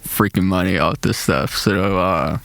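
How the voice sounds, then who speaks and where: A man's voice: low-pitched, measured pace, creaky, a bit bright, dry recording. A young man, a rapper and producer, with a nasal voice, talking loosely and casually in slang as a podcast guest, full of "like" and "man", into a close mic. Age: young adult. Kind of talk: casual interview chat.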